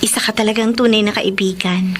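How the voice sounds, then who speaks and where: An actress playing a young housemaid in a Filipino radio drama, polite, earnest and a little hesitant. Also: female voice, mid-range voice, steady tone, brisk, slight background noise, close to an everyday voice. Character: earnest, respectful young housemaid, caring and timid.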